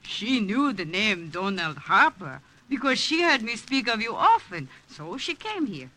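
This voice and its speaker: A woman's voice: mid-range voice, very animated, measured pace, bright, forceful tone, full character voice, poor audio. An actress playing a doting, scolding old Italian grandmother with an Italian accent and fussing delivery, in vintage radio drama style. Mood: chiding, earnest. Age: older. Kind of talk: 1940s-50s radio drama acting.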